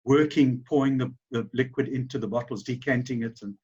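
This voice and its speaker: South African man, husky and muffled. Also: mid-range voice, fairly even intonation, brisk, mellow. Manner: rambling, many fillers and restarts. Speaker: business founder, guest. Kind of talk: conversational interview storytelling.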